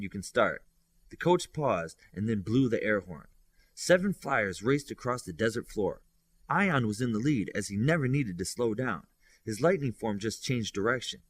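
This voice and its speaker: A man's voice: medium pitch, no room sound. A man reading a scripted science fiction audiobook as its narrator.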